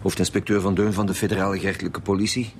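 An actor playing a gruff, blunt chief homicide inspector with a Flemish accent in a radio drama. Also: male voice, sits low, little pitch movement, very fast, slight background noise. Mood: formal, questioning. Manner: blunt, businesslike. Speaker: detective. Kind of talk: naturalistic radio drama acting.

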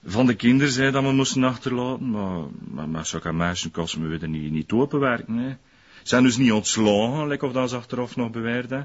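An actor playing an aggrieved former concierge turned prosperous dealer in a radio drama, rambling in folksy dialect with a West Flemish accent. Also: male voice, medium pitch, fairly quick, lo-fi sound, lightly acted. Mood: wistful, aggrieved. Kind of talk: naturalistic radio drama dialogue.

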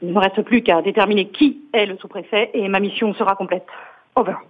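An actress playing an earnest, clueless secret agent reporting officially through a radio filter in an audio drama. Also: female voice, mid-range voice, fairly even intonation, brisk, slightly rough, narrow, tinny audio. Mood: solemn. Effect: radio transmission filter. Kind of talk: podcast audio drama acting. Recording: thin band-limited radio transmission.

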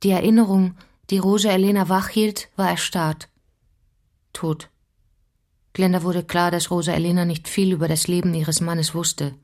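A woman's voice: lowish voice, little pitch movement, moderate pace, a little mellow, lightly acted. A woman playing a determined researcher in a radio drama, composed and inquisitive. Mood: sober narrating. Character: determined female researcher narrating and interviewing witnesses. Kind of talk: radio drama, narration and dialogue.

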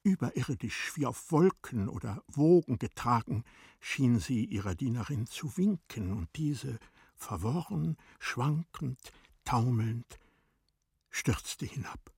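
A man narrating a literary radio play in a measured, grave manner with deliberate pauses and a husky voice. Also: mid-range voice, lively intonation, a little mellow, dry recording, clean studio sound.

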